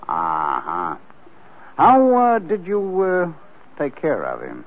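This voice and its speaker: An actor playing a guarded, menacing crook boss with a clipped, controlled manner in a 1940s radio crime drama. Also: male voice, a little high, huge pitch swings, takes it slowly, full character voice. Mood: guarded, cold. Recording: muffled narrow-band 1940s radio recording.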